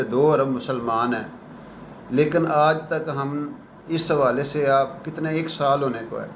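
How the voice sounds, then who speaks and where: Reverberant room, noticeable background noise, male professor, earnest current-affairs commentary, halting unscripted delivery. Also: mid-range voice.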